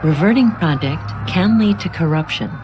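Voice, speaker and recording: An actress playing an impassive female computer assistant in an audio drama, giving measured, emotionless prompts. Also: lowish voice, lively intonation, a little mellow, soft background music, natural voice, low-quality recording. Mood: impassive warning.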